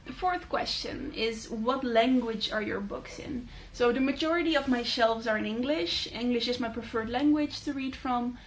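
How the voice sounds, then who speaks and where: A young Dutch woman, a native Dutch speaker, chatting casually in a vlog with many fillers and thoughtful pauses. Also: a little high, a little hiss or hum, poor audio. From Netherlands. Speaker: book channel host. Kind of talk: casual talking-head vlog.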